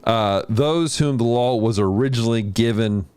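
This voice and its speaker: A man hosting a livestream of video games and Bible study, chatting casually with viewers and teaching in an animated way. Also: normal speed, clear, resonant tone. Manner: animated, jumps in pitch when greeting chat. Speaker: host of a gaming and Bible study stream.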